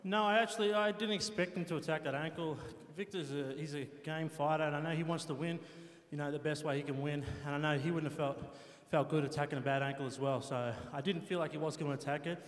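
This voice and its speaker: A man's voice: fairly high pitch, steady tone, fast, few pauses. A man giving a casual, easygoing post-match interview. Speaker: competitor being interviewed. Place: competition arena. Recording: echoey hall with long reverb.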